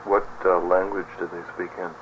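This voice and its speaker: Male interviewer, deep voice, attentive and gently probing; dull tape with slight hiss. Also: flat delivery, moderate pace. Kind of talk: oral history interview. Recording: muffled recording with faint hiss.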